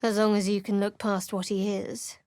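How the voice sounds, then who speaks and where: A woman's voice: medium pitch, level tone, moderate pace, darkish tone, no echo, lightly acted. A woman voicing a guarded, sardonic young cleric adventurer in a video game, speaking with dry, cutting wit and cool reserve. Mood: wry.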